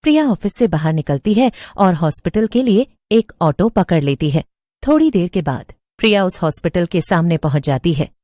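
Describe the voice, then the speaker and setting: A female narrator tells a scripted suspense story. Her voice is recorded very dry and close, with no room sound. The delivery is that of an animated horror tale. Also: fairly low pitch, normal speed, dry recording.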